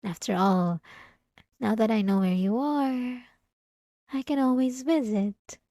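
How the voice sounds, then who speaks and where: A woman playing a sweetly devout, possessive young church girl in a scripted ASMR roleplay, soft-spoken and halting, with sugary politeness and an unsettling edge. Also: a little high, steady tone, dry recording, clean studio sound. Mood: flustered, eerily patient. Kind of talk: scripted ASMR roleplay monologue addressed to the listener.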